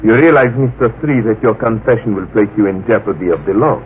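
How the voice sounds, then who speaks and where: An actor in an old radio drama playing a shrewd, authoritative professor who questions people. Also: male voice, fairly low pitch, brisk, lightly acted. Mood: indignant. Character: shrewd, brisk, authoritative professor interrogating others. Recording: muffled, narrow-band old radio recording, hissy.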